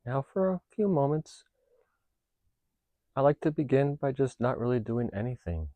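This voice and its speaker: A man leading a guided meditation, giving gentle instructions in a calm, unhurried, reassuring manner. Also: medium pitch, dry recording. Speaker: meditation teacher.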